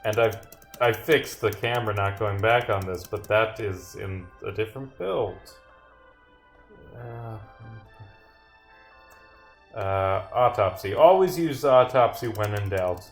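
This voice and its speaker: A man's voice: on the low side, takes it slowly, pauses often, faint music behind. A man commentating on his own livestream as a game developer, rambling with muttered asides, self-corrections and casual swearing. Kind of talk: livestream commentary, reading game dialogue aloud.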